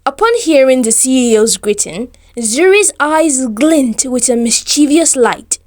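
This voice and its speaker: A woman's voice: high voice, expressive, measured pace. A woman storyteller reading a scripted romantic tale in a formal, descriptive, careful manner.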